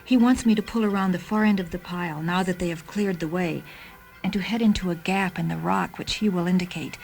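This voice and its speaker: A woman voicing a loyal, dutiful talking combat car in a science fiction story, calm and matter-of-fact, with music behind her. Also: on the low side, gravelly. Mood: calm, reporting. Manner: measured, matter-of-fact reporting.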